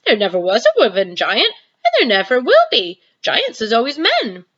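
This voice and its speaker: A woman voicing a huge, kindly, very old male giant who mangles his grammar and invents words, speaking slowly. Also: on the high side, very animated, fairly bright tone, voice pushed up, exaggerated voice. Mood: emphatic. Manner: jumbled grammar, made-up words, drawn-out delivery. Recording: dull lo-fi sound.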